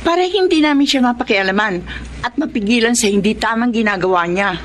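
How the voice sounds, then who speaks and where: Actress as a resentful sister, noisy backdrop. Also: female voice, fairly high pitch, lively intonation, fairly quick, close to an everyday voice. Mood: resentful, dismissive. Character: resentful, stubborn sister of a runaway young woman. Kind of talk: Tagalog radio drama acting.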